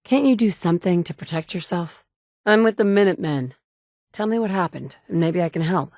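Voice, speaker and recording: A woman's voice: lowish voice, lively intonation, brisk, a little mellow, no echo. A woman playing a determined young mother surviving in a wasteland, with earnest, dry, conversational delivery in video game dialogue. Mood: concerned, friendly. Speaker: video game player character.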